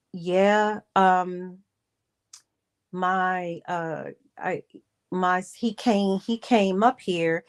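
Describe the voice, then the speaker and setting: A woman telling a personal story in a casual livestream style, re-enacting conversations and repeating phrases for emphasis in a halting way. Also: a little high. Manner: animated re-enacting of conversations with quoted dialogue.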